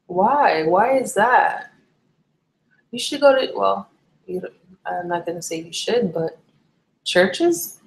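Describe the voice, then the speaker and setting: A woman's voice: medium pitch, expressive, slow, vocal fry, long pauses. A young woman from Barbados chatting casually on a live stream, candid and rambling, often correcting herself mid-sentence. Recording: echoey room.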